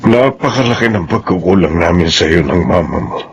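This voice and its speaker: A man's voice: low-pitched, expressive, fairly quick. A man acting a grave, remorseful older father, solemn and apologetic, in a Filipino radio drama. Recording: dull radio audio, faint background noise.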